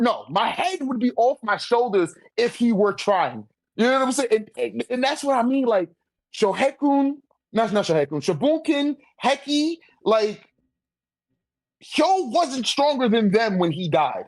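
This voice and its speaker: A man's voice: very high voice, no room sound. Man debating casually in slangy talk. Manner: informal, slangy, argumentative; repeatedly checks for agreement. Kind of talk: casual debate about a manga ranking.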